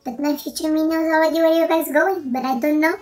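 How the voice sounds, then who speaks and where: A young Portuguese woman putting on a high, childlike voice to address her future self. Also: fairly even intonation, a little fast, darkish tone, distant mic, lightly acted. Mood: excited.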